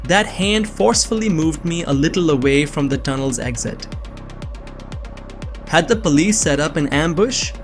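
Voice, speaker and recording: A man's voice: a little high, animated, moderate pace, soft background music. A young man giving earnest, scripted first-person narration of a suspense story. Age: young adult.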